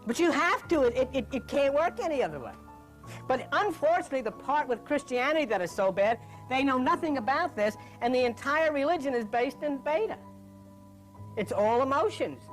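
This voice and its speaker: A woman's voice: sits high, animated, fairly quick, soft background music, rough recording. A woman giving an informal spiritual lecture in an emphatic, insistent way, repeating phrases for weight. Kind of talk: informal lecture on meditation and religion.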